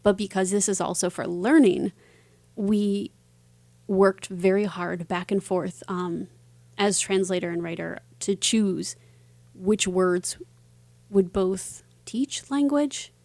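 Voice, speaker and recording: A woman being interviewed as an author, answering conversationally in a reflective way with halting phrasing. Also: medium pitch, steady tone, gravelly, mellow, no room sound.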